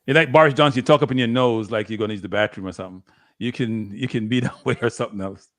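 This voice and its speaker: A man's voice: medium pitch, rapid-fire. Jamaican man arguing football. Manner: argumentative, repeats himself, frequent laughter. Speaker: football watchalong co-host. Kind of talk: live football watchalong chat.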